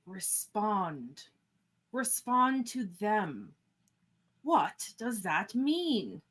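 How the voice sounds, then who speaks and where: A woman's voice: mid-range voice, very animated, very slow, fairly bright tone, exaggerated voice. A woman playing a dignified, authoritative starship captain in a fan radio play. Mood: questioning. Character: commanding, questioning starship captain, formal and dignified.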